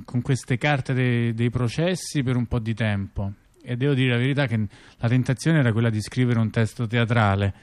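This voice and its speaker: A man's voice: mid-range voice, a little mellow. A man, a theatre performer being interviewed, telling stories in a chatty, rambling, colloquial way. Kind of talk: casual spontaneous storytelling in an interview.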